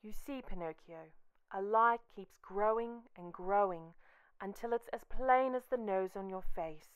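A woman playing a gentle, motherly fairy who moralises in a measured way in a children's radio play. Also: medium pitch, smooth, close to an everyday voice, low-quality recording. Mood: gently chiding. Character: gentle, gravely admonishing motherly Blue Fairy.

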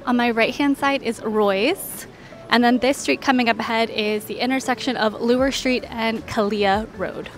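A woman with a somewhat high voice narrates a walking tour as a travel host. She is conversational and informative, pointing out one sight after another in an orderly way. Faint music plays behind her. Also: steady tone, normal speed. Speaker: travel guide and vlog host.

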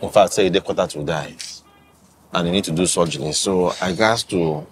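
A man's voice: deep voice, brisk, slight background noise, natural voice. An actor with a Nigerian Pidgin accent playing a hesitant man explaining himself in a film drama.

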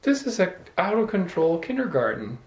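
A middle-aged man telling an animated, playful story to a small audience, holding a handheld microphone. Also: high voice, normal speed, a little hiss or hum. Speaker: salon speaker. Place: room with small live audience. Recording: echoey room.

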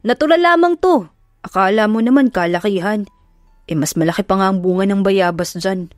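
A woman's voice: mid-range voice, normal speed, no room sound, studio quality, faint music behind, natural voice. A woman voicing a cocky, teasing young man who talks in a smug way.

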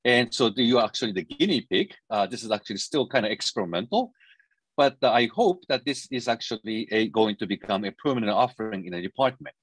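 Husky-voiced middle-aged Japanese-born man lecturing. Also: lowish voice, lively intonation, fairly quick, dry recording, low-quality recording. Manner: hesitant, many fillers and restarts. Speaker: physics professor. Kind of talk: university lecture over video call.

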